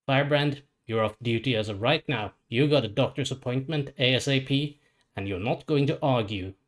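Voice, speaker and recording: A man's voice: medium pitch, moderate pace, lightly acted. A man voicing a stern, suspicious superhero lawman with a blunt manner. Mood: firm, urgent. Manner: blunt, challenging.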